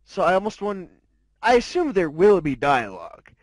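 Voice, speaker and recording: Casual male reader, slightly high voice. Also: huge pitch swings, normal speed, no room sound. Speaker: host and reader of fan fiction. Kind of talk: casual chat between readings.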